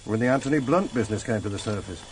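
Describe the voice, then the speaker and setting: A man's voice: on the low side, expressive, rapid-fire, natural voice, poor audio. A man playing an efficient, wry investigator in a radio drama, matter-of-fact and clipped. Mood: businesslike. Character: efficient, wry intelligence officer reporting from files. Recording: hissy vintage radio drama tape.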